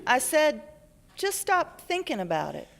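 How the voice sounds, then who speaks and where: An overwrought old wife acted by a woman in a stage radio play, exclaiming melodramatically. Her voice is high and swoops widely, drawn out slowly in an echoing hall. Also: wildly swooping pitch, creaky, exaggerated voice. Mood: fretful. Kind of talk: theatrical live radio drama acting. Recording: echoey hall, live stage.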